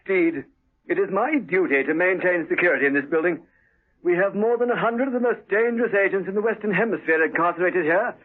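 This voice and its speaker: An actor playing a flustered, officious prison colonel in a 1970s radio drama, formal and defensive. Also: male voice, high-pitched, a little fast. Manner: formal, defensive, hesitant when apologetic. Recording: muffled old radio broadcast recording.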